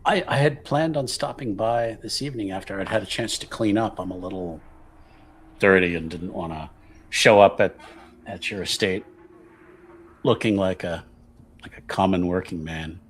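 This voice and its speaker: A man's voice: low-pitched, moderate pace, long pauses, a little hiss or hum, close to an everyday voice. A man playing a courteous, charming working man in a fantasy tabletop game, a little hesitant in his phrasing. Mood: sheepish, polite. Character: genial, polite working man who digs ditches.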